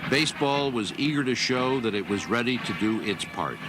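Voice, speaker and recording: A man's voice: mid-range voice, expressive, normal speed, low-quality recording. A man reading scripted historical documentary narration. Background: music.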